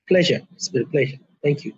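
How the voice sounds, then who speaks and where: A man's voice: fairly high pitch, lively intonation, fast, husky, no room sound. A middle-aged man from Bangladesh, a company co-founder being interviewed, talking earnestly with many fillers. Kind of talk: casual interview. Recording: muffled, dry lo-fi sound.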